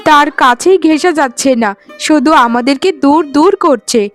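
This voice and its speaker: A woman's voice: high voice, moderate pace, soft background music, lightly acted. A woman voicing a caring, worried elder co-wife in a cartoon melodrama, speaking in a sisterly, earnest way.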